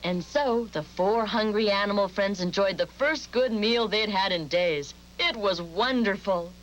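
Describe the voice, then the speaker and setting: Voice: mid-range voice, a little slow, lo-fi sound, noisy background. A narrator telling a fairy tale in a scripted storytelling style. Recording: music behind the voice.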